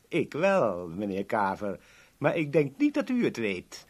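An actor in a 1970s radio play voicing a polite, formal boss who is coolly threatening. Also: male voice, mid-range voice, wildly swooping pitch, slow pace, exaggerated voice. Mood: smooth menace. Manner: formal, courteous, smoothly threatening.

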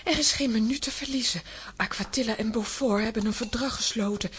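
A woman's voice: a little high, fairly quick, fairly bright tone, breathy edge. A woman playing an earnest, anxious character in a children's adventure radio play. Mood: urgent.

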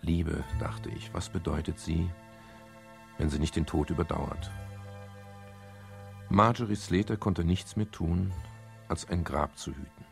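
An actor playing a wry, world-weary private detective with a measured way of speaking, in a 1970s German radio crime drama. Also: male voice, low voice, steady tone, gravelly, faint music behind, heavily acted. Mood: reflective.

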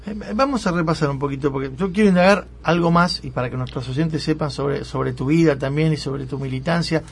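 Male Argentine radio interviewer, gravelly husky voice pitched a bit high, questions and recaps. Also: a little fast. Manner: probing, recaps facts with guest. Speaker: radio host.

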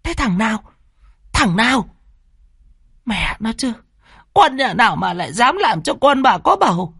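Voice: medium pitch, big pitch swings, creaky, a bit bright, slightly rough, no echo, studio quality, voice pushed up, heavily acted. A storyteller voicing a middle-aged village mother with a northern Vietnamese accent, scolding in hissed, wailing, badgering outbursts. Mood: furious. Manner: hissing, rapid badgering questions, wailing exclamations. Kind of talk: dramatic audio storytelling.

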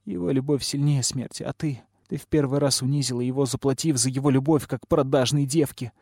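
A man's voice: high voice, animated, normal speed, dry recording, studio quality, pitched above the performer's own voice. A man voicing a defiant young girl in an audiobook story, shrieking and tearful with indignation. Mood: tearful accusation. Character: furious, defiant, weeping young girl named Flame.

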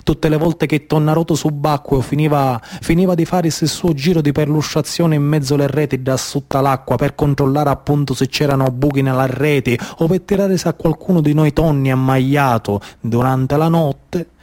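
A man with a Sicilian accent playing a gentle giant tuna, trapped in a cage, telling stories in a colloquial, reassuring way for a stage radio drama. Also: fairly high pitch, fairly even intonation, normal speed, few pauses, lightly acted. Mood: reminiscing.

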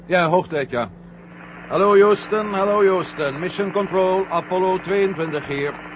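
High-voiced, brisk astronaut commander. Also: male voice. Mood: businesslike. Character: male astronaut commander, brisk, confident, sardonic. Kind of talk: 1970 Dutch science-fiction radio play acting. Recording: noisy muffled radio drama with hum.

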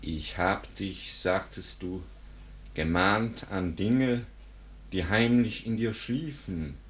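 An actor playing a grave accuser in a German verse radio drama, with solemn, measured declamation. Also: male voice, low voice. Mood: grave reproach.

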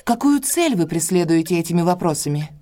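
A woman's voice: on the low side, very animated, fairly quick, mellow. An actress playing a proud, guarded, defensive woman in an audio drama, speaking formally and curtly. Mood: cold, guarded. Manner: formal, curt, indignant.

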